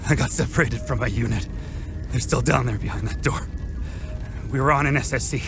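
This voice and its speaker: An actor playing a wounded, rattled soldier in pain, speaking urgently and haltingly in a video game. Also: male voice, medium pitch, vocal fry, music in the background, close to an everyday voice. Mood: pained, disoriented. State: hurt, in pain. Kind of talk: naturalistic video game dialogue acting.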